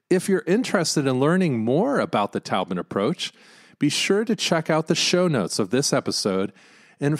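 A man hosting a podcast, reading a scripted introduction and interviewing a guest. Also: medium pitch. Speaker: podcast host.

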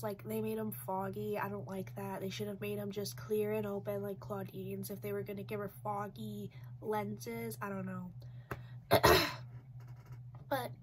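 Young woman, 20s, stuffy from a cold, rambling casual vlog talk, mid pitch, faint room hiss. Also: rough recording. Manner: rambling, chatty, many "like"s and "um"s. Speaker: doll collector vlogger. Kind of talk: casual review chat. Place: small decorated bedroom, indoors.

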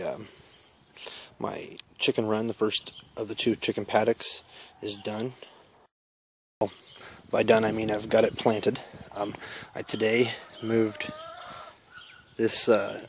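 A man's voice: fairly low pitch, slight background noise. A man giving a casual, rambling walk-through of his backyard garden, talking into a handheld camera as he walks. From Iowa. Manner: relaxed, rambling, many fillers. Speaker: permaculture vlogger. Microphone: handheld camera mic while walking.

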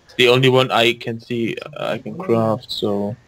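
A man's voice: mid-range voice, fairly even intonation, normal speed, fairly bright tone, low-quality recording. A man chatting casually with friends in a voice call while playing an online game, restarting his sentences often. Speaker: gamer in voice chat.

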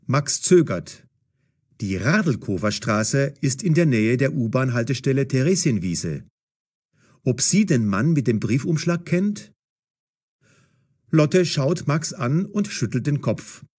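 A man narrating a scripted audio story for language learners in a measured, clearly enunciated way. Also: mid-range voice, very animated, darkish tone.

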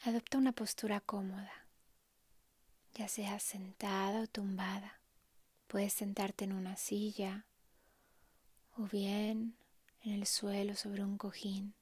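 A woman softly narrating a guided meditation in a hushed, calm, breathy way, leaving long pauses. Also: mid-range voice, no room sound.

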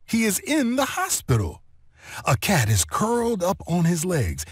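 Guy reading a kids book out loud, really animated, bit husky, close dry sound. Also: sits high, dry recording. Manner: expressive, deliberate storytelling delivery with clear phrasing. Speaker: storybook narrator. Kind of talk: scripted read-along children's story narration.

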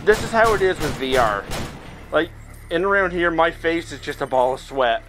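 A man with a fairly high voice gives casual commentary while actively playing a VR game. He chats away and thinks aloud like a host talking to his viewers, with music playing behind him. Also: animated, normal speed, a bit bright, rough recording. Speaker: gaming video host. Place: indoors, playing in a VR headset in front of a camera.

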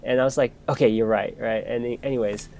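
A man's voice: mid-range voice, fairly even intonation, quick pace, dark tone, a little hiss or hum. A young man co-hosting a podcast, telling a long casual story with lots of fillers and restarts. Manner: rambling, many fillers and restarts. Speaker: podcast co-host.

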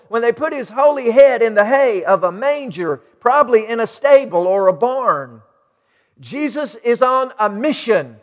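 A middle-aged American man preaches in a high-pitched voice that rings through a big church hall with long reverb. He delivers his sermon emphatically, turning to his listeners with rhetorical questions. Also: a bit bright. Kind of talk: sermon to a congregation. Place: large church sanctuary, indoors.

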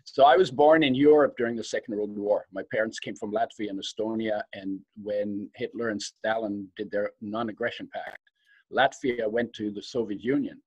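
A man's voice: fairly low pitch, a little mellow, slightly rough, no echo, dull audio. A man in his seventies, raised in Poland and German-speaking at home, telling his life story as a guest, reflective and laughing between sentences, on a video call from a study. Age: 70s. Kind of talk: personal storytelling. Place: home study lined with bookshelves.

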